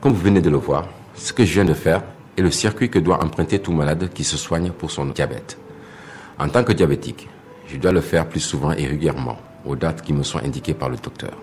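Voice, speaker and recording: A man's voice: rumbling low, a little fast, a little mellow, a little hiss or hum. A man playing an earnest, disciplined patient in an educational cartoon, explaining things didactically to viewers. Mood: advising. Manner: didactic, clearly addressing the audience.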